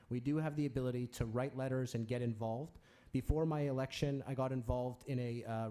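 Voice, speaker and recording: Male councillor, mellow and dark, steady answers broken by fillers. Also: medium pitch. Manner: measured, fillers between clauses. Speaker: city councillor.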